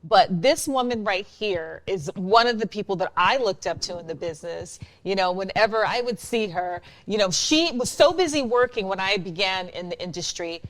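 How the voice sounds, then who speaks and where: A woman interviewing someone in a casual, chatty way, effusive and animated. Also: mid-range voice, normal speed, few pauses.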